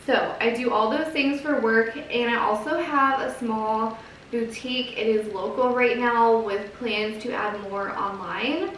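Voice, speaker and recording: A woman in her thirties, a home vlogger and mom, chatting casually in a soft, quiet, gentle voice. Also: fairly high pitch, measured pace, a little hiss or hum. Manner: gentle, earnest, chatty with fillers. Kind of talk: casual chat to camera. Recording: very echoey room, from a distance.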